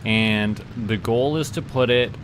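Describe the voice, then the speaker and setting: A man's voice: medium pitch, a little slow, noisy background. A man with a Canadian accent giving casual gameplay commentary as a livestream host to a live chat. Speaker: livestream host playing a space simulation game. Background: music.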